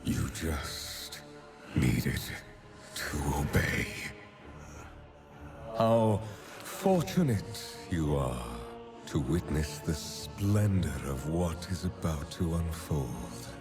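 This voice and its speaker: An actor playing a grandiose, sinister aristocratic lord in a video game, pompous, theatrical and deliberate, gloating. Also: male voice, a bass voice, sing-song, full character voice. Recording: loud music over game audio. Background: loud music.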